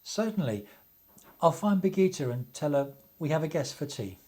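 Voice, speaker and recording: A man's voice: fairly high pitch, sing-song, normal speed, a little mellow. Grave, earnest young man played by a man. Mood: polite, subdued. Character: Henry, earnest, troubled young man, family friend. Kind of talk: radio play acting.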